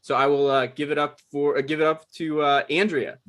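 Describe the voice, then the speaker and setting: A man's voice: a little high, little pitch movement, fairly quick, a bit bright. A man casually introducing a cast as the writer hosting a table read, rambling, self-deprecating and apologetic.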